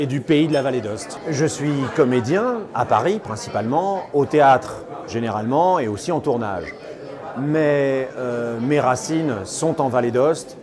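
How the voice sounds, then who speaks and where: A middle-aged man speaking spontaneously and effusively in a personal interview, like an actor telling his own story. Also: fairly high pitch. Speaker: stage and screen actor giving a testimonial. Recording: crowd chatter behind. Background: busy social gathering.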